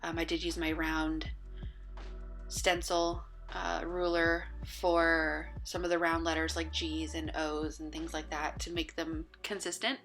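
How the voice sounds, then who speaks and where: A woman with a fairly low voice talks loosely through her planner pages, filling pauses with ums and uhs. Her voice is a little worn, as if she is losing it. Faint music plays underneath the voice-over. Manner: relaxed, chatty, with many ums and uhs. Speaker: bullet journal vlogger. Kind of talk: casual voice-over walkthrough.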